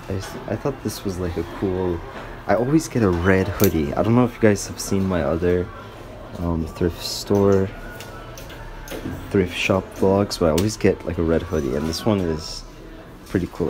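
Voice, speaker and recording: Low-voiced young man chatting casually. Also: normal speed. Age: young adult. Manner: rambling, loose and slangy, with frequent "like". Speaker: vlogger. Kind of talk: casual vlog chat. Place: parked car, later small store fitting room. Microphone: camera or phone at arm's length.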